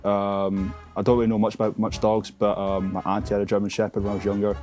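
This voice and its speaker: A man's voice: lowish voice, completely flat delivery, fast talker, a little mellow, soft background music. A Glaswegian man in his twenties, a confident, chatty dating show contestant who fills gaps with "like" and "um". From Scotland. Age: 20s. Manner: chatty, confident, many fillers.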